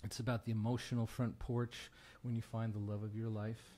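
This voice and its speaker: A man's voice: fairly low pitch, flat delivery, brisk, warm tone. A man, a guest folk musician, talking casually and earnestly in a plain-spoken way as he introduces a song. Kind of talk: casual talk introducing a song at a live show.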